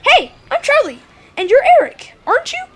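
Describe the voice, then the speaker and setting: A woman voicing an outgoing, chatty preteen boy at summer camp in a children's audio drama, breezy, informal and excitable. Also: very high-pitched, normal speed, heavily acted.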